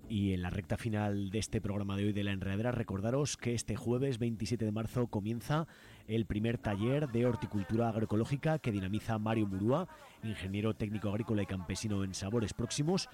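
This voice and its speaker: Man, radio host, accent from Spain, lowish voice, informal commentary, halting with pauses. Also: warm tone.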